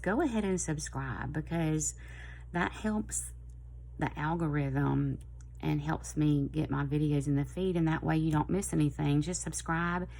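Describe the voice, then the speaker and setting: A middle-aged woman with a Southern US accent, chatting casually and a little hoarse, in a rambling, friendly way. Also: deep voice, mellow, low-quality recording. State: slightly hoarse. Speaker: planner vlogger. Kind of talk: casual chat to camera.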